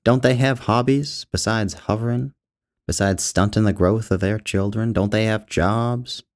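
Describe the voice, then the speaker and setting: A man's voice: fairly low pitch, fairly even intonation, unhurried, pitched above the performer's own voice, close to an everyday voice. A man voicing a defensive, opinionated father from a short story, indignant and declaiming. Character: defensive, opinionated father, an aspiring writer's blurting character.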